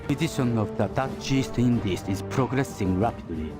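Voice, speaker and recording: An actor playing a proud, assured Japanese imperial war narrator, giving a formal, measured video game briefing. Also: male voice, mid-range voice, animated, close to an everyday voice. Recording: loud music over the voice. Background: loud music.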